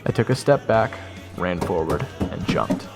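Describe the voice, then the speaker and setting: A man's voice: deep voice, lively intonation, measured pace, over music. A man playing a sarcastic, easily exasperated young would-be knight who also narrates his fantasy adventure. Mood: wry narration. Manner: wry, incredulous, casual. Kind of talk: fan audio roleplay with first-person narration.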